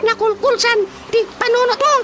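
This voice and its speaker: A woman's voice: very high-pitched, fairly even intonation, moderate pace, very noisy. A woman with an Ilocano accent playing a mocking, teasing female tormentor who giggles constantly in a radio drama comedy. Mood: mocking, gleeful. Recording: music behind the voice.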